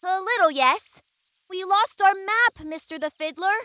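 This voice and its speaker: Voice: extremely high pitch, a little slow, pushed and bright, heavily acted. A performer voicing an eager, sweet, childlike little creature in a cartoonish audio drama, with a bubbly, wide-eyed manner. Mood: polite.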